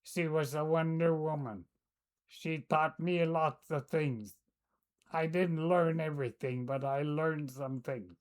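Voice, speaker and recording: Older lady telling old stories, real slow, keeps stopping to find the word. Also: deep voice, darkish tone. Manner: slow, hesitant, searching for words. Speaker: storyteller. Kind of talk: casual recollection.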